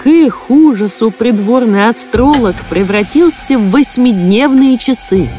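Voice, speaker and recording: A female storyteller narrates a children's cartoon fairy tale from a script. Her voice is fairly high, with lively, expressive intonation. Music is clearly heard beneath it. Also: a little slow. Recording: music behind the voice.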